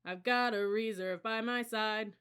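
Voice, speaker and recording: A reader putting on a loud sing-song cadet drill leader. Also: medium pitch, steady tone, a little slow, clean tone, voice pushed up, close to an everyday voice. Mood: sing-song chanting. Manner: chanting running cadences and barking orders. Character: young male cadet drill leader, loud, commanding, cocky.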